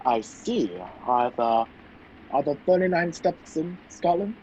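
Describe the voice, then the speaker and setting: A man playing a polite, earnest gentleman hero in an old-time radio play. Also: on the high side, expressive, unhurried. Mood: polite, easygoing. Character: gentlemanly male hero caught in a spy intrigue. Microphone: video call. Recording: dull, noisy video call audio.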